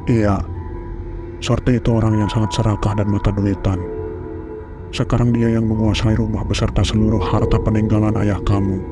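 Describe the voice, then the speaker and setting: A man's voice: on the low side, level tone, normal speed, lightly acted. A man voicing a secretive, evasive older village uncle in a horror cartoon, explaining in a matter-of-fact way. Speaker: voice actor. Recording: clear music under voice.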